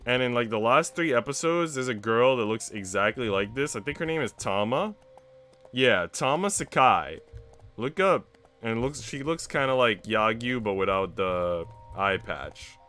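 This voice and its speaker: A man's voice: mid-range voice, animated, measured pace, bright, forceful tone, clear, resonant tone, faint music behind. A man livestreaming in casual, conversational chat with his viewers. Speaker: livestreamer reading visual novels.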